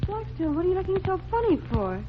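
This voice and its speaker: An actress playing a detective's anxious, excitable female companion, fretful and exclamatory, in 1940s radio drama style. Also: very high-pitched, fast. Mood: worried. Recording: noisy, muffled vintage 1940s radio recording.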